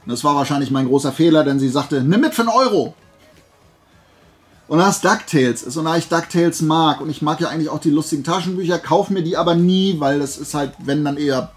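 A man's voice: on the high side, husky. A man chatting casually and playfully to camera as a vlogger, rambling through asides. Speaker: YouTuber showing flea market finds.

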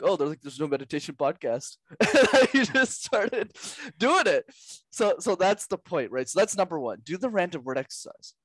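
A young man, a podcast guest and communication coach, giving energetic coaching advice with lots of emphasis and repetition over a headset mic at home. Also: fairly high pitch, dry recording. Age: young adult. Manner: animated, gestures a lot, drives points home with repetition. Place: small room at home.

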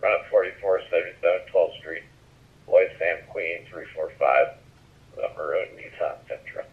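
A man's voice: deep voice, steady tone, moderate pace, slightly rough, phone-quality sound. A police officer, a man, calling in to dispatch over a radio, heard through a scanner speaker.

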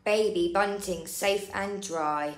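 A woman in her thirties reading a picture book aloud to young children in a deliberate, drawn-out sing-song, as a nursery storyteller. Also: mid-range voice. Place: indoor room. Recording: roomy indoor echo.

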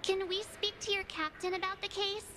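A woman's voice: extremely high pitch, steady tone, fairly quick, pushed and bright, a little hiss or hum. A woman voicing a perky, inquisitive teenage girl adventurer in an anime-style game, chatty and teasing. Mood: curious. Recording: game music behind dialogue.

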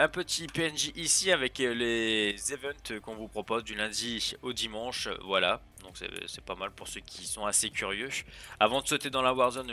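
A man's voice: mid-range voice, sharp tone. A man casually presenting features of a game server over a screen recording, chatty and hesitant, full of fillers and restarts. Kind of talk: casual gameplay showcase narration.

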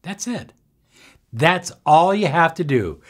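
A man in his sixties giving instructional self-help talk to camera, deliberate and emphatic, pausing between short phrases. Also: fairly high pitch, lively intonation. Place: small indoor room with bookshelves.

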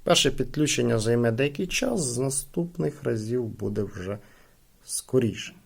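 A man giving a casual, hands-on product review, speaking haltingly as he thinks aloud. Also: medium pitch, expressive, creaky, slightly rough. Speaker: gadget unboxing reviewer.

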